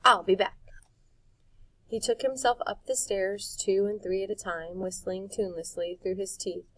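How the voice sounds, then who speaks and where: A woman reading a storybook chapter aloud in a calm, measured way. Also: medium pitch, poor audio. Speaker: audiobook reader. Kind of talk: storybook narration.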